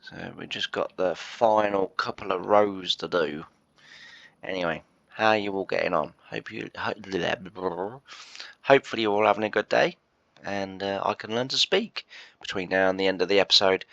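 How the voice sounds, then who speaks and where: Croaky, congested deep-voiced man. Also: moderate pace, fairly bright tone, dry recording, dull audio. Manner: rambling, hesitant, full of "uh" and restarts. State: congested and croaky from a chest cold. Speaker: gameplay commentator. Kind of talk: casual let's-play commentary.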